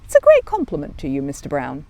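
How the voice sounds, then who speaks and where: A woman playing a kindly, courteous older male shopkeeper who speaks with gentle sympathy, in a storybook reading. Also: deep voice, huge pitch swings, fast talker, full character voice.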